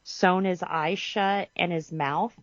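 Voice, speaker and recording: Woman, podcast co-host, slow unhurried talk, laughs a lot, trails off, dry muffled sound. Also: lowish voice, fairly even intonation, no echo. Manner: laughs often, trails off mid-thought. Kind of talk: casual chat.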